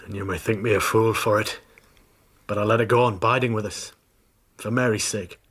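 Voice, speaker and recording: A man's voice: on the low side, steady tone, moderate pace, a bit bright, husky. An actor in a radio drama playing a bitter, jealous, hard-drinking husband confessing to murder, brooding as he tells it. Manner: brooding, confessional storytelling that breaks into confrontation.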